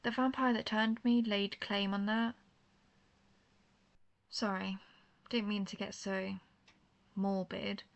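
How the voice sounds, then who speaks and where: A woman playing a world-weary, principled old vampire who hunts her own kind, speaking in formal, measured phrases in an intimate roleplay audio drama. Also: mid-range voice, flat delivery, muffled recording. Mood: wry, wistful, then subdued, curious. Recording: clearly noisy background ambience.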